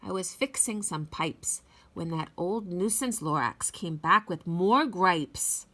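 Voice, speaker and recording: A woman reading a children's storybook aloud in a dramatic, deliberate, expressive way. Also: on the low side.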